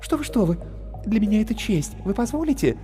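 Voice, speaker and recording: A man's voice: sits high, sing-song, fairly quick, warm tone, voice pushed up. A man voicing an effusive young woman clown who chatters theatrically and showers praise. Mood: gushing. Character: gushing young woman clown, breathless and flattering. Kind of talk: audiobook character voice. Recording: reverberant with background music.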